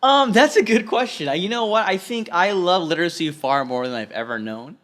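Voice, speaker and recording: A man's voice: sits high, animated, fairly quick, fairly bright tone. A man, a librarian, speaking earnestly in a podcast interview and repeating phrases for emphasis.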